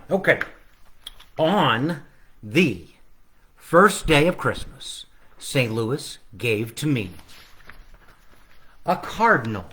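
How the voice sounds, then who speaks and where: A man's voice: mid-range voice, fairly bright tone. A man hosting a casual livestreamed storytime at home, reading his picture book aloud with chatty, rambling, playful asides to the audience. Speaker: children's author reading own book aloud. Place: at home, living room.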